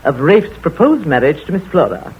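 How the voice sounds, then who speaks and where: An actress playing a nosy, know-it-all village spinster who talks brisk and bossy, in a 1940s radio play. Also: female voice, deep voice, big pitch swings, slightly rough, exaggerated voice. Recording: tinny old radio broadcast.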